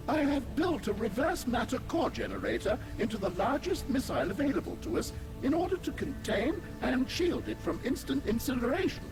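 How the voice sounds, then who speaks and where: A man's voice: very high voice, full character voice. A man voicing a fussy, formal alien scientist in a video game, speaking in verbose, pedantic phrases. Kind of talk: scripted video game character acting.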